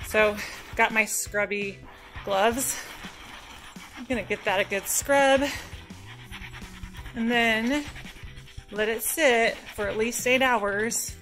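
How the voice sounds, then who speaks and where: A woman's voice: fairly high pitch, takes it slowly, long pauses, a bit bright. A woman hosting a cleaning vlog, talking casually and informally through a product test. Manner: informal, chatty. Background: music.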